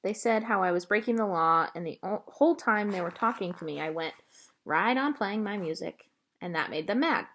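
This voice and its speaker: A woman voicing a shy, lonely, gentle male pet-store clerk from a storybook, with halting, folksy talk. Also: high-pitched, lively intonation, full character voice. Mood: subdued, confessing.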